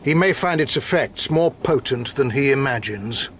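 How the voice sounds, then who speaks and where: A man's voice: mid-range voice, expressive, moderate pace, fairly bright tone, slight background noise, close to an everyday voice. A man playing a suave, cold-blooded murderer in a period radio drama, speaking with urbane, sardonic menace. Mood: smug, cool.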